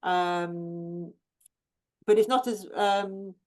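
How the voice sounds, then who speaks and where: A woman's voice: fairly low pitch, fairly even intonation, drawn-out words. Chatty woman gardener, full of ums. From England. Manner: chatty and warm, with many ums and restarts. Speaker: professional gardener, guest. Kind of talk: casual chat about her plants.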